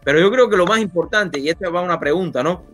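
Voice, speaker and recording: Male talk-show host, slightly high voice, chatty and opinionated, lots of "eh". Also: fast talker, a bit bright, faint music behind. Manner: rambling, opinionated, many fillers. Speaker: interview host. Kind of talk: conversational interview.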